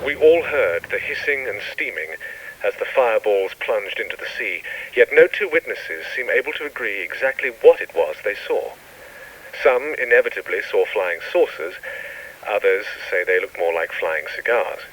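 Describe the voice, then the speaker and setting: An actor playing an earnest radio news reporter in a radio drama. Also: male voice, lowish voice, animated, moderate pace, a bit bright, far from the mic, rough recording. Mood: measured reporting.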